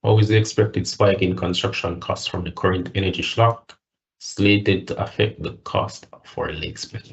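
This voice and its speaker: A man's voice: deep voice, level tone, normal speed, highs cut off. A man acting as moderator on an earnings call, reading out questions in turn.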